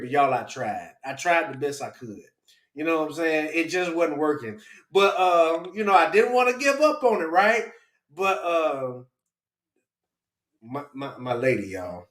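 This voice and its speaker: A man's voice: a little high, a bit bright. A man hosting a casual livestream, chatty and jokey, poking fun at himself. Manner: jokey, self-deprecating, chatty. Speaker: live stream host. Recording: roomy, echoing livestream audio.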